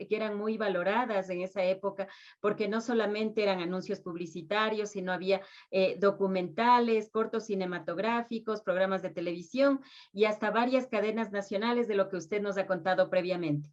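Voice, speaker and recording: A slightly dull, lo-fi recording of a woman. Her voice sits at a middle pitch as she interviews conversationally, putting questions and adding background. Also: fairly even intonation, moderate pace, a little mellow.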